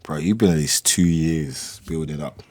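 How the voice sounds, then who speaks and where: Very deep-voiced calm man. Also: normal speed, dry recording. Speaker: guest's crew member. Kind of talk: casual interview chat. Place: small radio studio.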